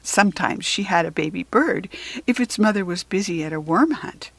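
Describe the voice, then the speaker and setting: A woman reading a children's story aloud with expressive, deliberate phrasing and dramatic emphasis. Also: medium pitch, creaky, dry recording.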